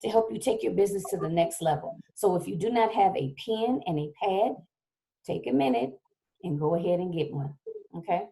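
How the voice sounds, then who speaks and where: A woman hosts a live online talk show, her voice a little hoarse as she gets over a cold. Her tone is mellow and warm-toned, and she chats easily, often checking in with her audience. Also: on the low side, fairly quick. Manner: chatty, keeps checking in with "okay?". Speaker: show host. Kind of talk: casual livestream talk show hosting.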